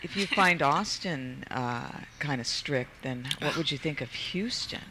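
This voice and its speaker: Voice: mid-range voice, animated, measured pace, background noise. A host asking curious, teasing questions in an interview chat.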